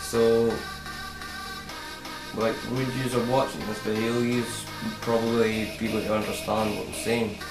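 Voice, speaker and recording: Halting teen boy vlogging in an echoey room. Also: lowish voice, flat delivery. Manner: halting, repeats words, many fillers. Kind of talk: casual talking-to-camera vlog. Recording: roomy echo with electronic music behind.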